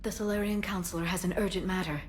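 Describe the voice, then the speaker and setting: Dutiful crew officer, game cutscene, female actor. Slightly low, gentle, tentative. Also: fairly even intonation, fast, slight background noise, close to an everyday voice. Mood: concerned. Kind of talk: video game cutscene acting.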